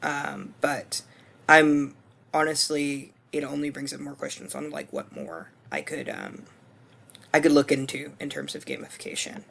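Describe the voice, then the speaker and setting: A man in his twenties with a hoarse voice, talking through a course screencast reflection in a casual, hesitant way full of ums and restarts. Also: on the high side, lively intonation, unhurried, a bit bright, a little hiss or hum. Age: 20s. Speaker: student.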